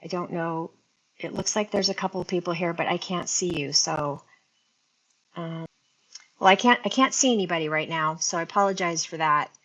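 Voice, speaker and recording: A woman's voice: on the low side, normal speed, a bit bright, a little hiss or hum, rough recording. A sixty-year-old woman hosting a casual beauty live stream, chatting and rambling to her viewers, talking into an earphone mic linked to her phone. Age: 60s. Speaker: beauty livestream host.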